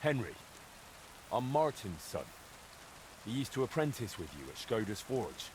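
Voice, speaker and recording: An actor playing an earnest young blacksmith in a video game, curious and direct. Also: male voice, mid-range voice, sing-song, unhurried, noisy background. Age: young adult. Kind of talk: video game dialogue acting.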